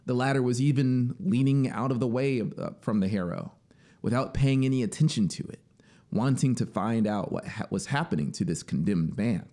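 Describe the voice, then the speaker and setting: A man in his thirties reading a story as dramatic, deliberate, expressive audiobook narration, close on the mic. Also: medium pitch. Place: small home music studio, indoors. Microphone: broadcast mic on boom arm, close. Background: ambient synth soundscape noise.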